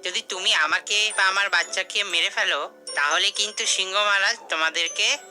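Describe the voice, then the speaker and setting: Brave, cunning nanny goat for a fable cartoon, female actor: mid-pitched, intensely bright, challenging. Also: moderate pace, faint music behind. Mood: bold bluffing. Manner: bold, challenging. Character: brave, cunning mother goat bluffing predators. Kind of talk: cartoon fairy-tale voice acting.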